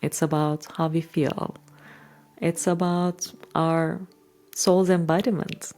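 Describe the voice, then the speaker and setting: Channel host: middle-aged woman, Turkish accent, low register, measured and reflective delivery in short, deliberate phrases. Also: little pitch movement, darkish tone. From Turkey. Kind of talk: reflective talk to followers.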